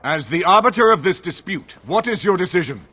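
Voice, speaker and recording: A man's voice: sits high, lively intonation, a little fast, bright, forceful tone, husky, heavily acted. A man voicing a stern nobleman in a fantasy video game, formal and pointed as he speaks. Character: stern noble arbiter addressing a royal dispute.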